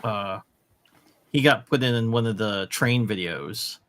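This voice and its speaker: A man's voice: fairly low pitch, a little slow, a bit bright. Late-forties guy chatting on a podcast, faint noise in the background. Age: 40s. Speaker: podcast co-host. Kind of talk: casual chat.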